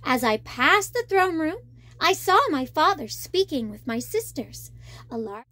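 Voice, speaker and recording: A woman's voice: high-pitched, big pitch swings, measured pace, sharp tone, dry recording. A woman reading a storybook expressively to children as a storyteller.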